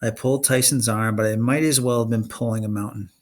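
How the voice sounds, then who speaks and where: A man reading a children's fantasy novel aloud in expressive audiobook-style narration. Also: medium pitch, fairly quick. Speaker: reader of a children's fantasy novel read-along.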